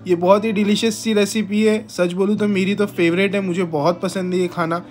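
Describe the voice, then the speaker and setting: A man's voice: high-pitched, flat delivery, a little fast. A young man hosting a home cooking channel, explaining a recipe step by step in a chatty, friendly way with frequent fillers. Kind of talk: voice-over recipe narration. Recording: soft guitar music behind.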